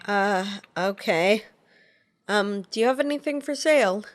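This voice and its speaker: A woman's voice: medium pitch, a little slow, faint music behind. A young woman voicing her game character, asking questions hesitantly with fillers. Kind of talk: casual game voice-acting.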